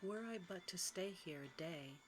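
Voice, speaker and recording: A woman voicing a gentle young storybook heroine who is dutiful, brave and resolute. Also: fairly low pitch, lively intonation, unhurried, noisy background. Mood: hopeful.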